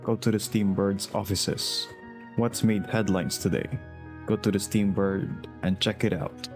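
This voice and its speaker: A man gives casual commentary over a game walkthrough. His voice is low and flat, with clear game music playing behind him. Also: measured pace. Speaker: gamer giving commentary.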